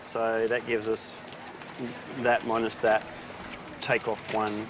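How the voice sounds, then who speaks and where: A man's voice: on the low side, completely flat delivery, slow, noisy background. A man in his forties chatting at an outdoor market stall, enthusiastic and self-deprecating. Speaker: farmer selling at a market stall. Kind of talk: casual on-location chat.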